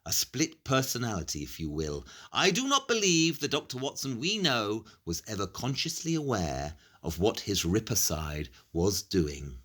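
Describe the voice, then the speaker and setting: An actor playing a brilliant, grave Victorian detective in an audio play, explaining in a measured, articulate, deliberate way. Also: male voice, on the low side, very animated, fairly bright tone. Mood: explaining, sombre.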